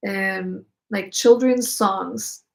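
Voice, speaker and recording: A woman's voice: medium pitch, steady tone, drawn-out words, creaky. A young woman with an Israeli accent, a native Hebrew speaker, hosting a casual live chat and answering viewer questions. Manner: chatty, reads out viewer comments, many fillers.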